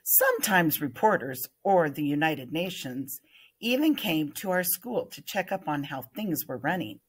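Older American female teacher, husky, very animated pitch, expressive children's read-aloud. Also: unhurried. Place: indoor room, at a computer. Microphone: webcam screen recording.

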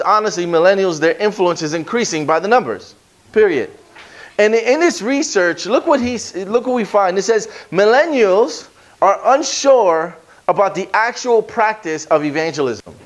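A man, a guest pastor preaching and praying in an energetic, conversational way, with stumbles and restarts, on a small church stage with a handheld microphone. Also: sits high. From Brooklyn, New York. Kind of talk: sermon with prayer and scripture reading.

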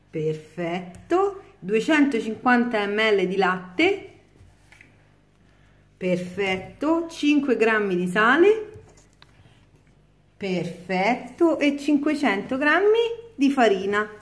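A woman's voice: fairly high pitch, big pitch swings, slow pace, long pauses. A woman in her home kitchen, patiently guiding her young daughter through a recipe and praising each step. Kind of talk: casual home cooking video.

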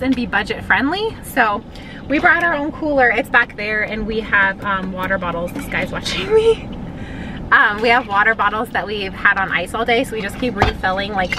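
A woman's voice: medium pitch, noisy background. Chatty mom vlogging from her car. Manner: chatty, rambling with lots of "like" and "um". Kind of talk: casual vlog chat. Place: parked car at a gas station, later a hotel room. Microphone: camera held at arm's length.

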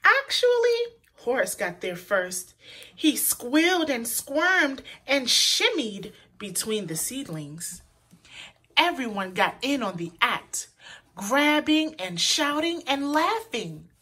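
Animated, high-pitched woman reading to children. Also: husky. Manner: pauses to point out words to young listeners; animated, deliberate delivery for children. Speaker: children's storyteller. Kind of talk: expressive read-aloud of a children's picture book.

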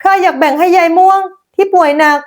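A woman voicing a gentle, patient young village daughter-in-law in a folk tale, polite and pleading. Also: extremely high pitch, fairly even intonation, fast talker, voice pushed up. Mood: earnest pleading. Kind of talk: dramatized folk tale narration.

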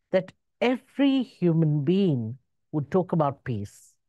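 A woman in her sixties giving a calm spiritual talk on a video call. Her voice sits a little low, moves slowly and swings widely in pitch. The sound is dry and close, from a webcam in a curtained room. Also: dry recording. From born in Zambia, raised in Zimbabwe. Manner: slow, measured, rising and falling in pitch. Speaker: spiritual speaker.

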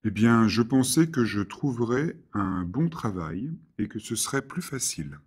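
A man reading scripted everyday dialogues for a French lesson with clear, careful delivery. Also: medium pitch, animated, measured pace, darkish tone. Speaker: dialogue reader for French learners.

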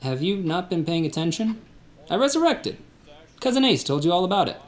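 A man acting in a church radio play, calm and gently teasing. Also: high voice, brisk, slight background noise.

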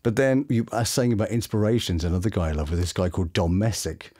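A middle-aged man with a British accent telling anecdotes in an expressive, enthusiastic way as an interviewed voice actor. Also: on the low side, fast talker. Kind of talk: animated anecdotal interview chat. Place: small home studio room. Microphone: boom-mounted studio microphone.

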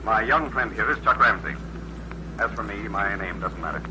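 An actor playing a steady, kindly adventure hero, reassuring and commanding in an old radio serial. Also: male voice, on the low side, moderate pace, natural voice. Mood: assured. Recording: very noisy old radio recording.

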